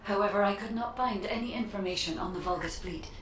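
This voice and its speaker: A woman voicing a calm, formal artificial intelligence guide in a video game. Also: medium pitch, quick pace, slight background noise, natural voice. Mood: flat, procedural. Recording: echoing voice with music behind.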